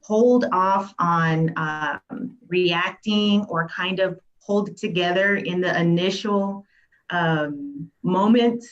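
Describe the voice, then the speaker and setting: A woman's voice: lowish voice, slow, dull audio. A woman coach on a panel, speaking reflectively from personal experience. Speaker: life coach guest. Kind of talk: panel discussion.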